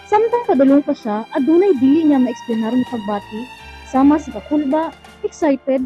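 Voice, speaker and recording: A woman's voice: high voice, expressive, moderate pace. A woman with a Filipino accent reading scripted narration for a radio drama. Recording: muffled lo-fi recording. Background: music.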